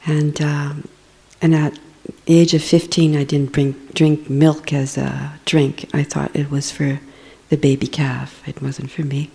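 A woman with a very low, gravelly voice and a dark tone. She speaks hesitantly while introducing herself in a casual group setting. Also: level tone, a little slow, few pauses. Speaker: participant.

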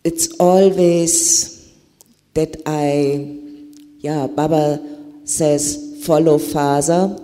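A man sharing a spiritual experience on a panel, speaking earnestly with halting pauses. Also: fairly high pitch, warm tone. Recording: reverberant hall.

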